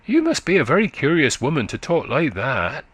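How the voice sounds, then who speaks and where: A man's voice: on the high side, big pitch swings, normal speed, fairly bright tone, breathy edge, no echo, pitched above the performer's own voice, full character voice. A man voicing a small chattering country boy who speaks in rustic dialect, piping and childlike. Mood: curious.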